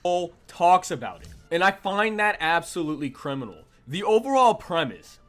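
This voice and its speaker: High-voiced man narrating a scripted review. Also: sing-song, normal speed, fairly bright tone, faint music behind. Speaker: manga review YouTuber.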